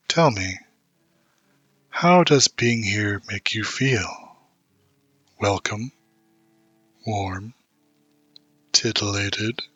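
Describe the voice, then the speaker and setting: Man playing a slow, breathy, seductive void spirit. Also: on the low side, bright, forceful tone, no echo, exaggerated voice. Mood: gentle, teasing. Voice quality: breathy, often dropping into whisper. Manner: slow, lingering, hypnotic. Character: seductive, patient male void spirit savoring memories. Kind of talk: intimate audio roleplay.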